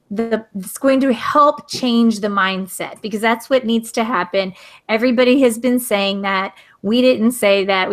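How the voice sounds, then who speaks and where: Woman, educator, video call host, slightly high voice, earnest, emphatic, restarts sentences. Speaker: educator, hangout host and author. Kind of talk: casual video call discussion.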